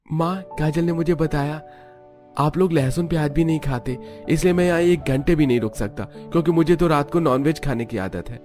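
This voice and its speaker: A man plays a young husband visiting his in-laws in a cartoon. The pitch is lifted, the manner polite but demanding. Light music is underneath. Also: normal speed, a little mellow, natural voice. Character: self-assured young Indian son-in-law, polite but demanding. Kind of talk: Hindi cartoon story acting.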